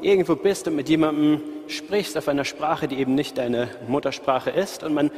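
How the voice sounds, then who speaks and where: A man from the Ruhr area with a light Ruhr accent, preaching in a relaxed, conversational way and talking straight to his listeners. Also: on the high side, moderate pace. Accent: light Ruhr German. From Ruhr area, Germany. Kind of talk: sermon. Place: church hall, live audience and online stream. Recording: reverberant hall.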